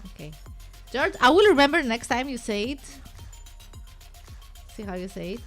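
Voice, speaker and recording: A young woman with a Greek accent, hosting a relaxed live stream and chatting playfully with viewers while she works on a website. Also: on the high side, moderate pace, soft background music. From Greece. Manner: chatty and playful, talks to chat viewers by name, thinks aloud while working. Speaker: livestream host.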